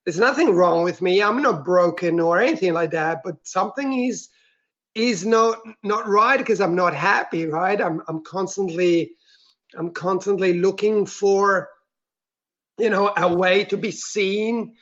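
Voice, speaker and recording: High-voiced man sharing his story earnestly. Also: a little slow, fairly bright tone. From Switzerland. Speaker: guest. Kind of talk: personal story in a podcast interview. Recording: dull, lo-fi sound.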